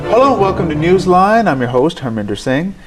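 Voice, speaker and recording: A man hosting a studio interview show, asking questions in a measured, formal way. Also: high voice, sing-song, loud music behind the voice. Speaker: talk show host.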